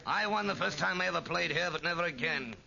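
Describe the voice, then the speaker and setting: An actor playing a desperate, excitable thin gambler in a 1940s radio drama. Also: male voice, sits high, fast talker, vocal fry, exaggerated voice. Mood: excited. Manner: excitable, theatrical. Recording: dull old radio recording, loud crowd behind. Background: busy gambling room crowd.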